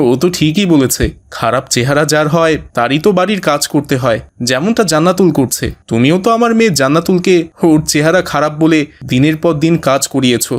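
A man's voice: a little high, lightly acted. A man voicing a reproachful father in a family cartoon, pointed and accusing. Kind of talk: dramatic cartoon voice acting.

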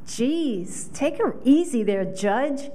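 Here is a woman acting as a harried working mom who is a casually sinister deputy mayor. Her voice sits a little high with a mellow tone. She delivers the comic audition monologue briskly and deadpan, as matter-of-fact about crime as about dinner. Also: exaggerated voice. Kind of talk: audition comedy monologue read. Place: hotel conference room, at a standing mic.

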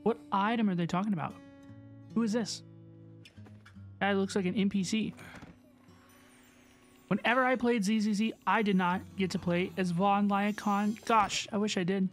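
A woman giving casual, excited reaction commentary as a video host, exclamatory and slangy, often repeating herself. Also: medium pitch, normal speed, pauses often, soft background music.

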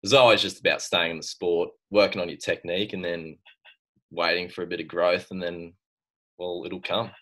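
Young Aussie man, deep low voice, relaxed and rambling, laptop mic from home. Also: moderate pace. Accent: Australian. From Australia. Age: young adult. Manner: laid-back, laughs often, trails off with fillers. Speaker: guest swimmer. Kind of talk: casual chat. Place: bedroom at home. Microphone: laptop webcam on video call.

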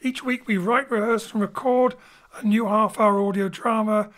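A man's voice: sits high, steady tone, normal speed, fairly bright tone. Guy, director doing an informal host intro for an audio show, home studio mic. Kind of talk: informal spoken introduction to a live-style show. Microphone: home studio microphone over internet link.

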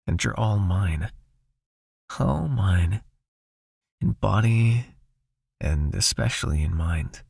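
A man playing a possessive, seductive vampire master in an ASMR hypnosis roleplay, murmuring breathily and slowly in an intimate, coaxing, softly commanding way. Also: sits low, animated, gravelly, husky, no room sound, clean studio sound, full character voice. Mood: possessive, hypnotic.

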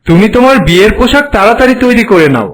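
High, swooping butterfly king voiced by a man. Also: animated, rapid-fire, a bit bright. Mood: concerned, coaxing. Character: butterfly suitor, a young king in disguise, pleading, proud. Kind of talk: cartoon voice acting.

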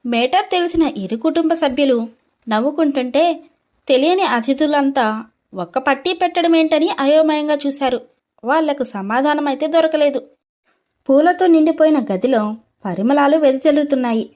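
A woman's voice: high-pitched, normal speed, a little mellow. A woman storyteller reading a scripted story narration.

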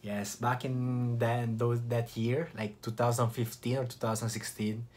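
A young man with an Italian accent, from Italy, chatting to camera in a casual vlog with relaxed restarts and short pauses. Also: medium pitch, a little hiss or hum. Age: young adult. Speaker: vlogger.

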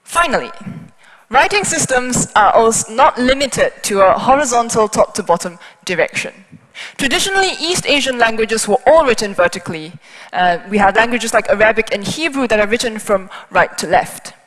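A fairly high-voiced woman, a native Chinese speaker, explains a technical subject to a conference audience. She talks in a clear, explanatory way through a headset mic, and her voice carries a long reverb from the large hall. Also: animated, a crowd in the background. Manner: explanatory, brisk, with small asides.

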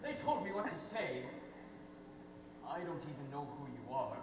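A man's voice: fairly high pitch, big pitch swings, normal speed. A teenage male actor on stage playing an awkward, honest young celebrity badger boy. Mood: awkward, sheepish. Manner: hesitant, stammering. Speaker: actor in a high school play. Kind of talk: live amateur stage acting. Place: theater stage filmed from afar. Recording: echoey hall, distant, noisy stage recording.

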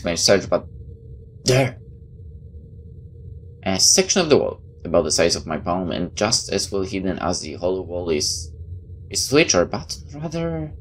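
Low-pitched game streamer reading aloud, noisy background. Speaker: gameplay host reading a visual novel aloud. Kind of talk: expressive reading of game text with casual commentary. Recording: clear background noise.

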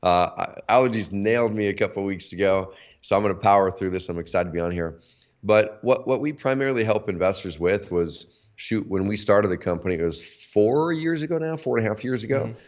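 A man in his thirties, hoarse from allergies, talking energetically as a guest on a video call interview. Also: on the low side, brisk. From Oregon, USA. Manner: energetic, talkative, lots of fillers. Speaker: guest, company CEO. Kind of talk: casual interview. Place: home office, indoors. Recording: slightly dull lo-fi video call audio.

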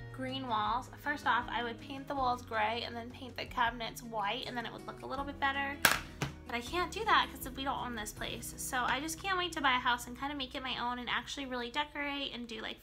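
A woman's voice: a little high, sharp tone. A young woman chatting casually while doing her makeup in a small bedroom, a bit nasal from a cold and rambling with lots of ums and likes. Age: young adult. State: sick, slightly nasal. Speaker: beauty vlogger. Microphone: camera in front, close framing.

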